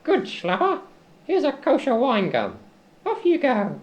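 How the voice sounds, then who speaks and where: Amateur doing wheedling oily schemer, comic radio play, exaggerated, swooping pitch, muffled old cassette. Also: wildly swooping pitch, a little slow, exaggerated voice. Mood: wheedling, bossy.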